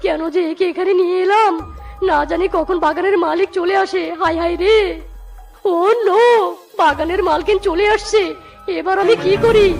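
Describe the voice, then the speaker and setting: A woman voicing an excitable, bossy little animal in a children's cartoon, exclamatory and dramatic, with music behind. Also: extremely high pitch.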